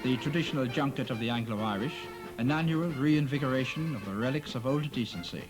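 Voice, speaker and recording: A man's voice: medium pitch, fairly quick, low-quality recording. A man narrating a documentary in a dry, wry, polished scripted delivery. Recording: narration over music.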